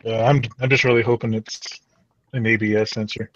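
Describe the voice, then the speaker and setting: Relaxed middle-aged guy on a muffled call line. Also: on the low side, brisk, a little hiss or hum. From northern Louisiana. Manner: relaxed, wry storyteller who chuckles. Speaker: guest on a live stream. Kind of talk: casual storytelling chat. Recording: dull lo-fi call audio.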